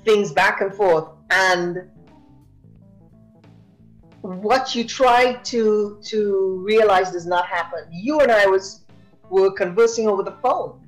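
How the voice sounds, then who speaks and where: A woman's voice: mid-range voice, takes it slowly, faint music behind, poor audio. A woman hosting a podcast interview, speaking effusively and full of praise for her guest. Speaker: podcast host. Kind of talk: interview conversation.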